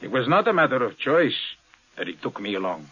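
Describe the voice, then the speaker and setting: A man's voice: mid-range voice, big pitch swings, sharp tone, breathy edge, full character voice. An actor playing a bitter, guarded pilot in his thirties, speaking curtly in a 1950s radio drama. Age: 30s. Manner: curt, brooding, deliberate. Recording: dull, lo-fi 1950s radio recording.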